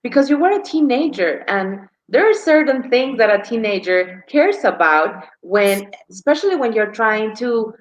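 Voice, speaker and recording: A woman's voice: a little high, very animated, normal speed, few pauses, poor audio. A woman hosting an interview show on a video call, thanking her guest effusively and speaking with frequent fillers. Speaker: show host.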